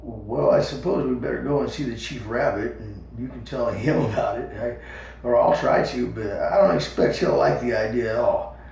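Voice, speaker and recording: A man voicing a level-headed young male rabbit who talks in a calm, reasonable way. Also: medium pitch, moderate pace, creaky, slight background noise, natural voice. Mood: doubtful, earnest. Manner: calm, reasonable, a little doubtful. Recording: echoey room, far from mic.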